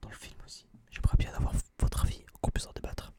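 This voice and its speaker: A young man whispering a casual, slangy, rambling review in breathy ASMR style, close to a binaural microphone. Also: quick pace. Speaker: ASMR video creator.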